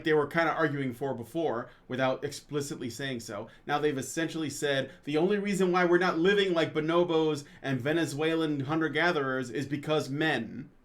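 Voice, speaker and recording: Higher-voiced man in rambling chat. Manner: rambling, halting restarts. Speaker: co-host. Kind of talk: casual podcast chat.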